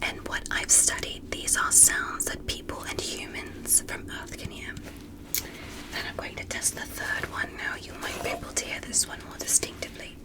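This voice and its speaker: A woman playing a polite, gentle alien doctor in an ASMR medical roleplay, speaking in a careful, breathy whisper. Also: lightly acted, poor audio. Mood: hushed, clinical, curious. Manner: slow, careful, softly reassuring.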